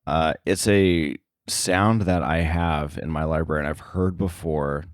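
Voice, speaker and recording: A man chatting casually as an interview guest, halting and thinking aloud as he explains. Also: rumbling low, no echo, studio quality. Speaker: podcast guest, sound editor.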